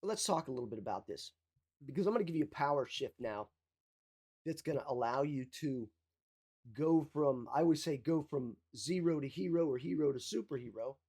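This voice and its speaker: Husky-voiced man giving a motivational talk. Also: fairly high pitch, expressive, a little fast, warm tone. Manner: emphatic, repeats key phrases. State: slight cough. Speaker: mindset coach.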